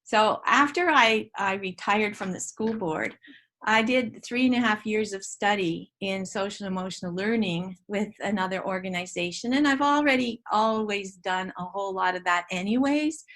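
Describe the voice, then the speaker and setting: A woman's voice: mid-range voice, a bit bright. Earnest older woman, Dutch native speaker. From Ontario, Canada. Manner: earnest, reflective, with frequent restarts and pauses. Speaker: guest educator. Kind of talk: interview.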